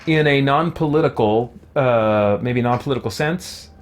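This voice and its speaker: A man hosting a casual livestream, speaking at a medium pitch in a voice worn from long talking. He is earnest and reflective, working out his answers aloud and doubling back to rephrase. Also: normal speed. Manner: earnest, reflective, thinks aloud with self-corrections. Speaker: host, video game content creator. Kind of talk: casual livestream talk, answering viewer questions.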